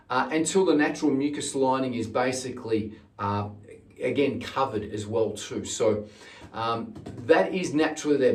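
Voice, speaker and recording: Middle-aged man, singing teacher, informal explainer, stresses key words, mid-pitched voice, roomy distant recording. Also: darkish tone. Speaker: singing teacher and channel host. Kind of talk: informal instructional talk to camera. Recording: roomy echo, distant microphone.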